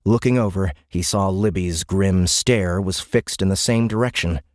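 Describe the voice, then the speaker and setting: A man narrating a military science fiction audiobook in a measured, grave, dramatic way. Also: low-pitched, no room sound, studio quality. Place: dry recording studio.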